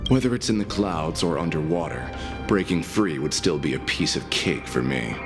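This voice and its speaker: A man playing a gruff, cocky, defiant ex-convict warrior in a fantasy game, blunt and nonchalant. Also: rumbling low, animated, normal speed, music in the background. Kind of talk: scripted fantasy game voice acting.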